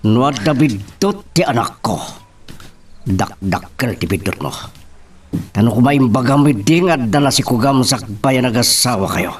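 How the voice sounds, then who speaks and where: A man's voice: mid-range voice, animated, normal speed, distant mic, natural voice. A man playing a stern, grave middle-aged father who reproaches his grown daughter in a radio-style drama. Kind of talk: Ilocano audio drama acting.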